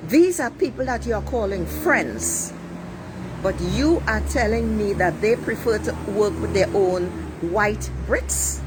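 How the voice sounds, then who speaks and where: A middle-aged woman from Trinidad with a Trinidadian accent, giving a blunt, preachy monologue to her viewers and swearing freely. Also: mid-range voice. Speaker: life coach livestreaming. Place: outdoors near traffic. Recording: street traffic noise behind. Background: passing cars, a siren.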